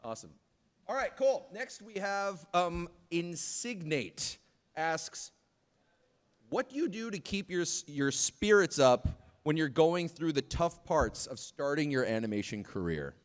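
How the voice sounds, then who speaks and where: A man hosting a casual group chat about animation, joking and excitable. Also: on the high side, measured pace, in a large hall. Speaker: host.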